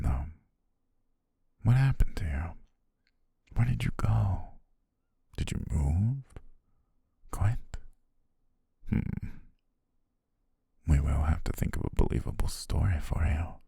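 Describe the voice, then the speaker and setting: An obsessive, softly menacing yandere photographer played by a man for an ASMR roleplay. The voice is extremely deep and very breathy, sinking into gravel and half-whispers. He speaks slowly and possessively, with deliberate pauses. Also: no echo, heavily acted. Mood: quietly menacing. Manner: slow, hushed, deliberate, with drawn-out pauses. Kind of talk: ASMR roleplay, intimate slow monologue.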